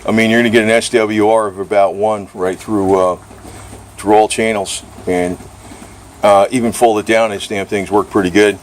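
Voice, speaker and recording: A man's voice: fairly low pitch, a little hiss or hum, low-quality recording. A man talking in an informal, rambling, mildly profane way, like a casual show-and-tell vlog about gear. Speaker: vlogger reviewing radio gear.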